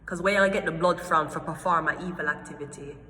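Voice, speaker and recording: A woman's voice: sits low, fast, slight background noise. Blunt Jamaican woman in an echoey room. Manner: blunt, profane, animated storytelling with mimicked exchanges. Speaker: vlogger. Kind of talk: casual rant to camera.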